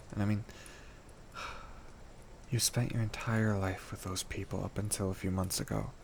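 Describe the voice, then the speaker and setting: A man's voice: lowish voice, gravelly, a little mellow, slight background noise. A man playing an affectionate, playful and protective boyfriend in an intimate roleplay audio drama, talking in a tender, teasing, slightly stammering way. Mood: gentle, concerned.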